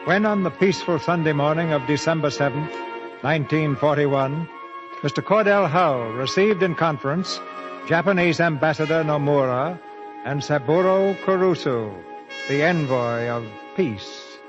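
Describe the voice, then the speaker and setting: A man's voice: a little high, moderate pace, natural voice. A man narrating a scripted 1940s radio drama in a grave, portentous manner. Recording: muffled old radio recording with music. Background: dramatic music.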